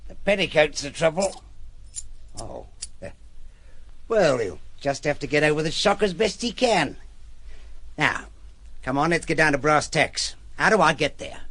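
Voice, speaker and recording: A man's voice: on the high side, animated, unhurried, long pauses, fairly bright tone. An actor playing a bored, scheming henchman in a radio drama, with blunt, slangy, grumbling talk.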